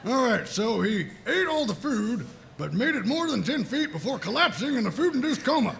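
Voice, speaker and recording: A gruff, callous older cartoon sergeant, speaks in a folksy drawl. The voice is gravelly, high and forceful, over faint noise. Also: male voice, sing-song, normal speed, full character voice. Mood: blunt, unconcerned. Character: gruff older male military sergeant, cheerfully blunt and callous. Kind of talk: comedic table read of animated series.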